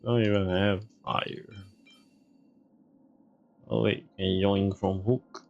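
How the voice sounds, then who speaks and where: A man's voice: low-pitched, fairly even intonation, slow, vocal fry, a little mellow, muffled recording. A man giving casual game stream commentary, muttering to himself in short fragments.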